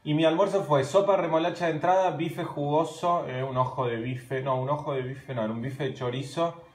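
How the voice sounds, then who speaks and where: A man's voice: on the high side, a little fast. A man in his thirties with an Argentine accent, chatting casually and animatedly on a live stream from his home kitchen, filmed on a phone held at arm's length. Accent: Argentine Spanish. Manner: chatty, animated, gesturing while talking. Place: home kitchen at night, indoors. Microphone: phone camera at arm's length. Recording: roomy, echoey room.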